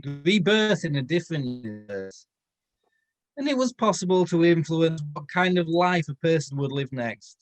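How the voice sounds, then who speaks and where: A man reading a comic story aloud, expressive, with stumbles and self-corrections along the way. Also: on the high side, normal speed, low-quality recording. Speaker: comedy performer reading fan fiction aloud.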